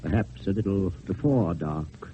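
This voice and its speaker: A man's voice: low-pitched, animated, dark tone. Proud sad aging swordsman poet, very swoopy stagey delivery, muffled old radio sound. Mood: melancholy. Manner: theatrical, deliberate. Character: Cyrano, aging proud poet-swordsman, melancholy faithful friend. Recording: narrow, noisy old radio recording.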